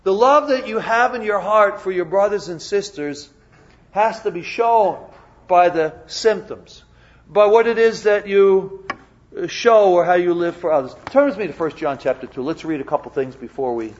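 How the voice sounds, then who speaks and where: A man's voice: high-pitched, normal speed, muffled recording, a little hiss or hum. A man preaching a sermon in a church, often repeating and restarting his words and asking the congregation questions. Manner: repetitive stammering restarts, conversational questions to the congregation. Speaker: pastor.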